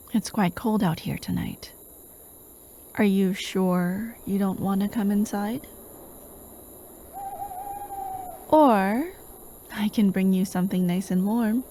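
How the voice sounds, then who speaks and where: A woman playing a doting, possessive female hypnotist in a soft-spoken ASMR roleplay, gentle and coaxing, often slipping into a whisper. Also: medium pitch, slight background noise. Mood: tender, attentive. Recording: steady outdoor night ambience, chirping crickets. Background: crickets and night insects.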